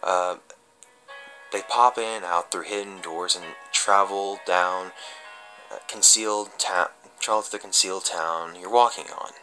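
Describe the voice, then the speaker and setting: A man giving an amateur dramatic reading of a horror story as a confessional, halting and stumbling, with drawn-out pauses. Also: sits low, steady tone, bright, forceful tone, soft background music, low-quality recording.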